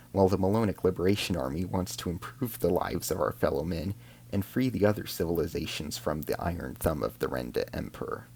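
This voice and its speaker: A man's voice: low voice, vocal fry, darkish tone, husky. A man narrating a scripted science fiction drama in the first person as an alien soldier, in a solemn, formal storytelling manner.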